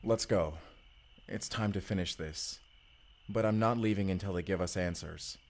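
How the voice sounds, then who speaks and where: An actor playing a weary, suspicious young time traveler in an earnest, intense sci-fi audio drama. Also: male voice, low-pitched, moderate pace. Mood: grim, determined. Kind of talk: immersive sci-fi audio roleplay acting.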